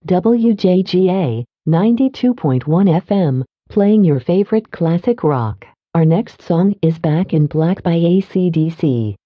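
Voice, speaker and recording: A woman's voice: on the low side, expressive, measured pace, hardly any pauses, no echo. A woman reading a scripted music radio station ident, through a tinny radio. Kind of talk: classic rock station ident. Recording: dry, tinny narrowband radio sound.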